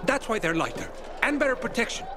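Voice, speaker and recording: Game armorer: very high male pitch, lively swings, confident, noisy scene. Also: quick pace, heavily acted. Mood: explaining. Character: teasing, confident male armorer defending his father. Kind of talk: video game drama acting.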